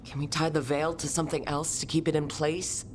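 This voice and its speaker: Game heroine played by a woman: low register, determined resistance leader, plain-spoken, noticeable ambient noise. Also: level tone, fairly quick, close to an everyday voice. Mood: resolute. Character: determined woman hero leading a fantasy resistance. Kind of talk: dramatic game cutscene acting. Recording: clear ambient noise behind the voice.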